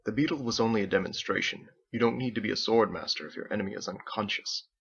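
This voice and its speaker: A man's voice: fairly low pitch, quick pace, creaky, fairly bright tone, highs cut off. A man voicing a sly young male student who needles his rival in a smug, teasing way, in a story reading.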